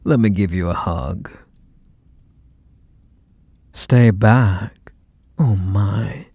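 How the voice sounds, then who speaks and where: A man's voice: fairly low pitch, mellow, breathy edge, dry recording, exaggerated voice. A man playing a possessive, teasing mafia boss lover in an intimate ASMR roleplay, speaking in a mock-sweet, condescending way full of menacing affection. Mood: smug, affectionate. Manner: unhurried, mock-sweet, condescending pet names. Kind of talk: ASMR roleplay, intimate one-sided dialogue.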